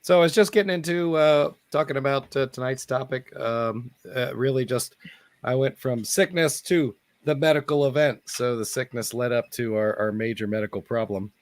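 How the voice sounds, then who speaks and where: A man hosting a live online chat, hoarse from losing his voice and talking in a rambling, halting way. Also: medium pitch, no echo. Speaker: host. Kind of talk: casual online hangout chat. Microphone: computer mic on a live video call.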